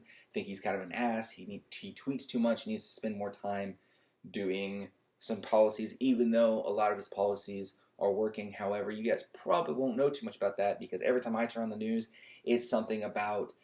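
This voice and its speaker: A young adult man ranting at home for his channel in a loud voice, indignant and repetitive, often restarting his sentences. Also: fairly low pitch. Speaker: YouTuber. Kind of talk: casual opinion rant to camera.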